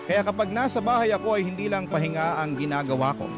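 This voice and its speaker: Radio drama narrator: burdened single parent, fairly high voice, earnest, confiding, loud music bed. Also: male voice, expressive, fast talker. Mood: earnest, weary. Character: devoted, burdened single parent narrating own life story. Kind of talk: dramatized first-person scripted narration.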